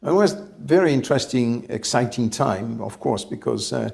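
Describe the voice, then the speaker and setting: A man, telling his life story in an interview, measured and reflective, often pausing to find his words. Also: mid-range voice, animated, gravelly, a little mellow. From France. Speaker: company head, interview guest.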